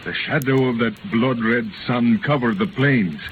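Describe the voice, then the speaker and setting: A solemn young messenger voiced by a male actor speaks in stilted, foreboding oratory from 1930s radio drama. His pitch is middling. The recording is tinny, with plenty of noise. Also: unhurried, close to an everyday voice. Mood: solemn, warning.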